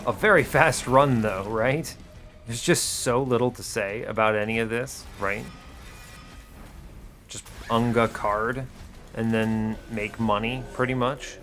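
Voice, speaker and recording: A man's voice: medium pitch, slow pace, pauses often, fairly bright tone, soft background music. A man hosting a gaming video, thinking aloud in casual, chatty commentary with breezy, wry understatement. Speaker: host of an educational gaming video series.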